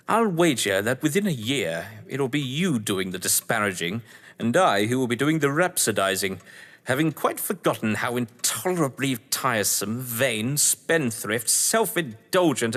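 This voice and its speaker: A man acting a suave upper-class English husband in an audio drama, sardonic and condescending. His medium-pitched voice swoops theatrically through wordy, arch phrases, ringing in a long hall-like reverb. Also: sing-song, moderate pace, a bit bright. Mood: dry disdain.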